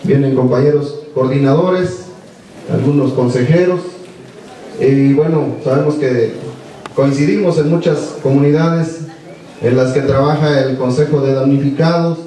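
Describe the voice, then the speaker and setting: A man's voice: mid-range voice, fairly even intonation, unhurried, dark tone. A man with a Mexican accent giving an informal speech to an assembly as a delegation representative. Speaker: community police delegation representative. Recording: very echoey, distant microphone.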